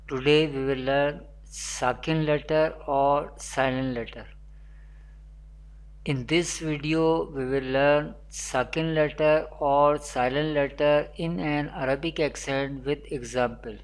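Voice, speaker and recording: Slow, deliberate teacher. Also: medium pitch, fairly even intonation, smooth. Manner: slow, repetitive teaching delivery with Arabic recitation. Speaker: Quran teacher. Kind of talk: recorded online lesson.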